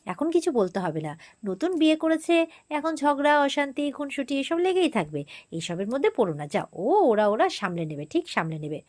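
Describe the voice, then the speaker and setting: A protective grandmother, as a woman narrator plays her in a TV drama retelling. She chides and reassures firmly in a fairly high voice. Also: very animated, a little fast, a little mellow. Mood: chiding, reassuring. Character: protective, chiding grandmother of the household.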